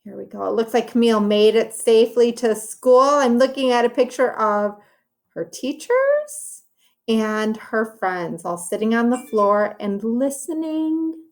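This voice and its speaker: Female teacher for a children's read-aloud: higher-pitched, unhurried, simple instructive wording with encouraging asides. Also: animated. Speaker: teacher reading a story to children. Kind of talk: read-aloud lesson for young children, with commentary on pictures.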